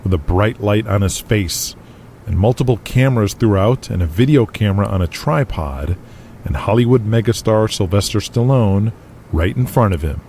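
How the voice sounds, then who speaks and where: A man's voice: fairly low pitch, expressive, normal speed, mellow, slight background noise. A man reading dramatic scripted narration in the present tense as the narrator of an audio drama. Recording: music playing behind.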